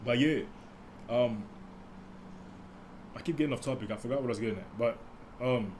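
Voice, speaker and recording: A young man talks in a deep, mellow voice at a medium pitch, rambling through a personal story with frequent restarts and side tangents. He speaks softly, as if unaware how quiet he is. A faint hiss of background noise sits under the recording. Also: moderate pace. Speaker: podcast host. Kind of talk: casual solo podcast storytelling.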